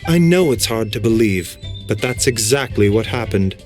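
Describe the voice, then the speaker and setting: An actor playing an earnest young traveler hero in a video game. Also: male voice, on the low side, lively intonation, moderate pace, faint music behind, lightly acted. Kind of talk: video game character acting.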